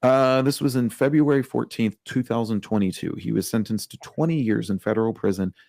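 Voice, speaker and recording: A man's voice: mid-range voice, fairly quick, gravelly, darkish tone, no echo, studio quality. A man co-hosting a casual podcast, telling a story in a chatty, wry way, full of fillers. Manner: chatty, lots of like and you know, wry. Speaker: podcast co-host.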